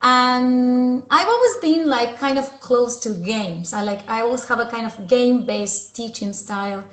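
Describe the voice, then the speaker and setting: A woman's voice: a little high, unhurried. A woman in her forties from Argentina with an Argentine accent, an English teacher chatting at home over a video call with lots of fillers. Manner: chatty, many fillers, laughs easily. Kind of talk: casual video call chat. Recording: roomy video call audio.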